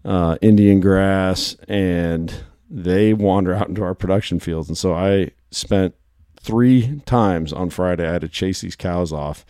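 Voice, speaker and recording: A man hosting a casual podcast, his voice hoarse and worn, chatting and telling stories in quick bursts. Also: deep voice, dark tone, no echo, clean studio sound. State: hoarse from shouting. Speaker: podcast host.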